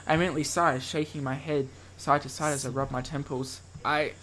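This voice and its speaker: A man's voice: fairly high pitch, fairly even intonation, fast talker, fairly bright tone, slight background noise. A man hosting a let's play, reading visual novel text dramatically and breaking off for playful asides. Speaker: gaming video host reading aloud.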